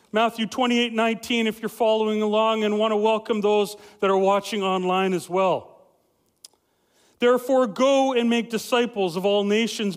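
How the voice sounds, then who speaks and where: Young adult male family pastor, loud, lively sermon with many filler-laden restarts, high voice, long church-hall reverb. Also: clean tone. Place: church sanctuary.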